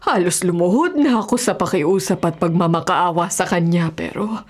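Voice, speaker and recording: A woman's voice: on the low side, normal speed, close to an everyday voice. A woman acting an anguished, pleading Filipino wife in an emotional, melodramatic radio drama. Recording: radio drama with clear music bed.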